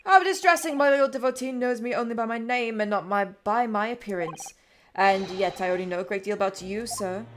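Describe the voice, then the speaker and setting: A grandiose, self-satisfied male sleuth of the Victorian age , as a woman puts him on for a game, all flourish and formal phrasing. The voice sits fairly high, with game music playing underneath. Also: brisk, close to an everyday voice. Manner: grandiose, self-congratulatory, British-style formal speech. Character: pompous theatrical male Victorian great detective. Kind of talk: voicing characters while playing a game.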